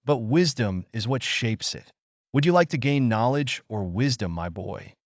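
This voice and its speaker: A man voicing a kindly, patient, wise old village man in a bedtime story, soft-spoken and thoughtful. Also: medium pitch, animated, measured pace, no echo. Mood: gentle, instructive.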